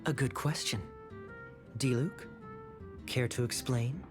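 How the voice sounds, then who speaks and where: An actor playing a suave, teasing young knight captain in a video game, smooth-talking and theatrical. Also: male voice, medium pitch, steady tone, slow pace, music in the background, lightly acted. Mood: sly teasing.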